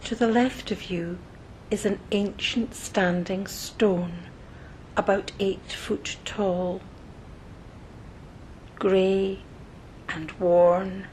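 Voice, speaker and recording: A woman narrating a scripted guided meditation in a soothing, measured manner, pausing between short phrases, with soft breathy phrase endings. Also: steady tone, rough recording. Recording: noticeable low rumbling background noise.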